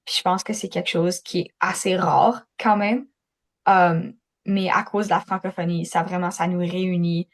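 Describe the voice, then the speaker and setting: Une jeune femme à l'canadien-français qui parle de manière décontractée, avec des genre et des comme. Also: female voice, on the low side, moderate pace. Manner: relaxed, uses fillers like genre and comme. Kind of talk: casual chat.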